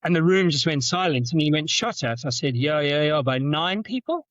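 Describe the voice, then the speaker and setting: A middle-aged man with a Zimbabwean-South African accent, telling stories as a podcast guest, often repeating words and jumping up in pitch for anecdotes. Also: animated, normal speed, fairly bright tone, dry recording. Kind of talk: storytelling in conversation. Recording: dull, dry lo-fi sound.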